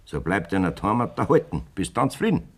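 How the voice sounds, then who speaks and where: A domineering Bavarian old farmer. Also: male voice, deep voice, a little fast. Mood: cold-blooded. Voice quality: blunt, self-assured and lively in tone. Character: domineering aging male farmer, scheming and blunt. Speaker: farmer in a radio play.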